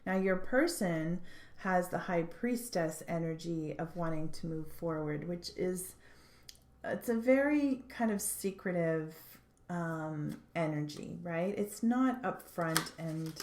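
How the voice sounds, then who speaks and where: A woman giving a tarot reading, sounding stuffed up, speaking thoughtfully with frequent pauses and restarts. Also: on the low side, darkish tone, echoey room. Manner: thoughtful, hesitant, many fillers and restarts. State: stuffed up, congested. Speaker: tarot reader.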